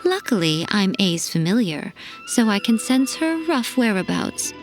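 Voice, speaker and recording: A sly fox shrine familiar from a video game. She speaks at a medium pitch with aloof, teasing composure, as if she always knows more than her listener. Music plays clearly behind her. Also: big pitch swings. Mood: musing. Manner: teasing, unhurried, knowing, condescending.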